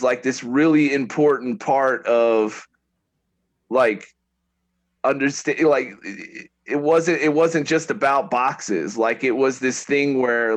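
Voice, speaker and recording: A middle-aged man co-hosting a casual debate, pressing his point with questions and frequent restarts. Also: medium pitch. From United States. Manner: questioning, restarts sentences often. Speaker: podcast co-host.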